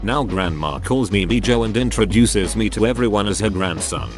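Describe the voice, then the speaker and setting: A man's voice: lowish voice, moderate pace. A man narrating a compilation of online posts in a scripted, evenly read style. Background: background music.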